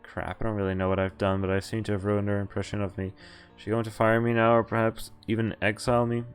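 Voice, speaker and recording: A man streaming a visual novel, reading its lines aloud and breaking off to react and explain, with animated exclamations and groans. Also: lowish voice, fast, clear, resonant tone, faint music behind.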